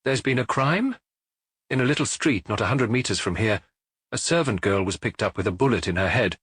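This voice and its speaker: A man's voice: lowish voice, expressive, fairly quick, fairly bright tone, breathy edge, studio quality. A man voicing a chatty, knowing dance-hall proprietor with a familiar, confiding manner in an audiobook. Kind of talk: audiobook character dialogue.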